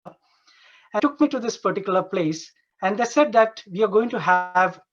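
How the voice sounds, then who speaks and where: A man from Dubai giving an informal conference presentation as an Ayurveda doctor, earnest and halting, often repeating and rephrasing his phrases. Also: sits high, lively intonation. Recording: dull, lo-fi online conference audio.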